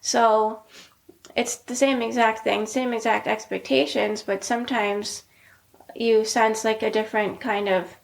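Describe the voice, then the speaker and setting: Woman, middle-aged, soft-spoken, narrow pitch, casual chat, filler words. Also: unhurried, vocal fry. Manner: soft-spoken, many "like" fillers, steady even delivery. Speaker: podcast co-host, pediatrician.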